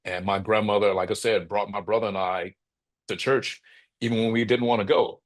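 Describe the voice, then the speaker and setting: A middle-aged man with a bass voice, speaking reflectively as an interview guest, often checking in with "right?" and restarting his phrases. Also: fairly even intonation, moderate pace. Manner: thoughtful, frequent "right?" tags and restarts. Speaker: podcast guest.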